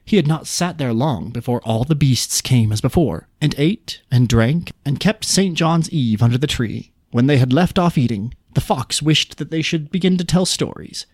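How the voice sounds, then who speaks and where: A man narrates a folk tale in the manner of a podcast storyteller. His voice sits at a medium pitch, recorded very dry and close. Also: expressive, moderate pace, hardly any pauses, darkish tone, no room sound. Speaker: podcast host.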